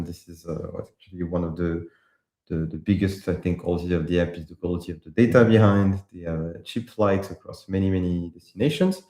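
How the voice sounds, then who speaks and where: A man from France with a French accent, casually walking through a live software demo, with many pauses and restarts. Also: low-pitched, reverberant. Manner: casual, hesitant with frequent uh and restarts. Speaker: presenter demoing apps. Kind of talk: live tech demo walkthrough.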